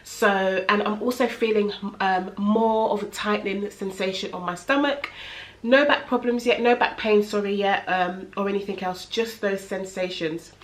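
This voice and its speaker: A woman's voice: medium pitch, steady tone, normal speed. A young woman, blocked up with a cold, chatting through a casual home vlog update. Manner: chatty, rambling, many fillers. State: congested with a head cold. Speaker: vlogger. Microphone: camera in front of her.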